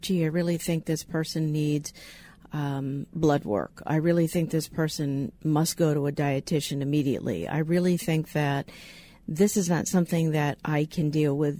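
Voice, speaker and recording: A middle-aged woman hosting a conversational interview, speaking in a measured, articulate and reflective way. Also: sits low, dark tone. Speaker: interviewer, host.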